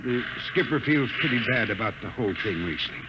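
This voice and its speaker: A man's voice: medium pitch, lively intonation, brisk, close to an everyday voice. Man as placating crewman, very noisy recording. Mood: awkward, placating. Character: awkward, conciliatory spaceship crewman. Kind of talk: 1950s radio drama acting. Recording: very noisy old radio recording.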